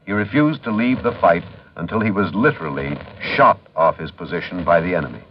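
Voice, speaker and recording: Low-voiced American radio announcer. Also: male voice. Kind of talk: 1950s military radio scripted narration. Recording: muffled, distant old radio recording, faint noise.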